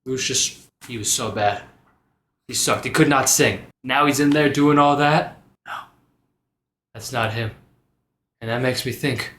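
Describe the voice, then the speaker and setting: A man playing a remorseful but determined bard adventurer, earnest and halting, in a roomy recording. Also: medium pitch, a bit bright, lightly acted.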